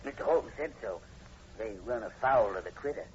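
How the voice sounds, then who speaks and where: A man's voice: fairly low pitch, lively intonation, a little fast, darkish tone, lightly acted. A man playing an uneasy, superstitious rural hired man with a rural Southern US accent in a vintage radio drama. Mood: uneasy, ominous. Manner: folksy, uneasy, rustic speech. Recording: muffled, hissy vintage radio recording.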